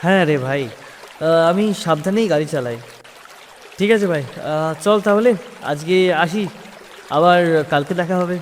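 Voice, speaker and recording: A man's voice: fairly high pitch, moderate pace, close to an everyday voice. A man playing a dutiful, hardworking young delivery man in an audio story, earnest and affectionate. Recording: noisy recording with long hall reverb.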